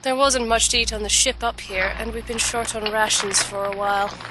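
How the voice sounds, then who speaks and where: An actress playing an earnest, determined alchemist adventurer in a fantasy audio drama, speaking in a measured, serious way. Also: female voice, fairly high pitch, steady tone, heavy background noise, natural voice. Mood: guarded. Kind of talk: audio drama narration and dialogue. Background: music.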